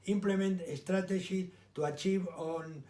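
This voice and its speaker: A man in his sixties with an Argentine Spanish accent, a native Spanish speaker, giving a prepared campaign statement in a slow, deliberate, emphatic way. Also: high-pitched, fairly even intonation, dark tone, reverberant. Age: 60s. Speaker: election candidate.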